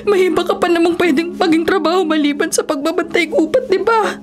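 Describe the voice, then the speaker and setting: A very high-voiced, anxious wife in a Filipino radio drama. She nags, scolds and pleads with a lot of emotion, over faint music. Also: brisk. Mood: tearful pleading. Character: anxious, nagging wife of a forest ranger.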